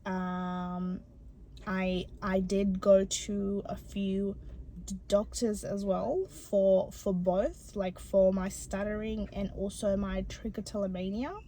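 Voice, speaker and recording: A young woman from Bangladesh who stutters, talking personally to her viewers with many pauses and ums. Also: mid-range voice, a little mellow. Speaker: channel host. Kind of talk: personal vlog talk to camera.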